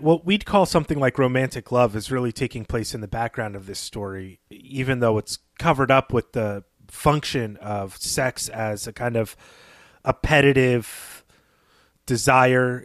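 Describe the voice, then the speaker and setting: A man with a medium-pitched voice gives literary commentary as a podcast co-host. His delivery is conversational but analytical, explaining ideas in a measured, academic way. The recording is dry, free of room echo.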